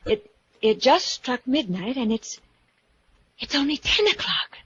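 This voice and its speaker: An actress playing an anxious, coaxing wife in a haunted-house mystery, in 1940s radio drama style. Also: female voice, high voice, sing-song, measured pace, fairly bright tone, dull audio, heavily acted. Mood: bewildered, frightened. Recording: noisy, band-limited old radio recording.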